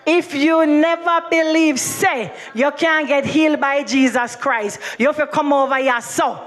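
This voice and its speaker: A woman's voice: high voice, unhurried. A Jamaican woman giving a fervent church healing testimony, praising and thanking God over and over. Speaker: testimony giver.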